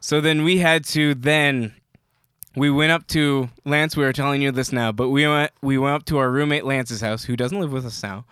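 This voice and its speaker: A man's voice: mid-range voice, steady tone, moderate pace, a bit bright, smooth, dry recording, studio quality. A young man co-hosting a podcast, chatting casually, rambling with restarts and frequent laughs. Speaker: podcast co-host.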